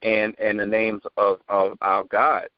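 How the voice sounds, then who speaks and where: A man in a casual group discussion, halting with many ums, calling others brother. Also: lowish voice, level tone, no echo. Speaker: podcast panelist. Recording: dull dry lo-fi audio.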